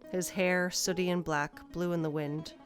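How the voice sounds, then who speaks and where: A woman with a fairly low voice narrates a scripted fantasy tale. Soft guitar music plays faintly behind her as she reads. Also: fairly even intonation, unhurried.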